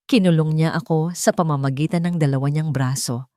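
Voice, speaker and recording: A woman storyteller with a fairly low voice, recorded close and dry. She tells a dramatic romance in the first person, line by line. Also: steady tone, a little fast, warm tone, no echo. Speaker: story narrator.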